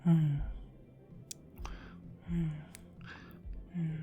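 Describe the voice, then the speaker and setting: A woman's voice: low voice, level tone, very slow, narrow, tinny audio, music in the background. A woman voicing a seductive, dominant female vampire in an ASMR roleplay, speaking in teasing, close whispers. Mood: commanding.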